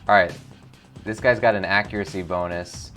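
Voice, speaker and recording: A man with a low voice hosts a casual video game playthrough. He is informal and enthusiastic as he comments, and faint music plays behind him. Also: steady tone, normal speed, sharp tone. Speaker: gaming show host.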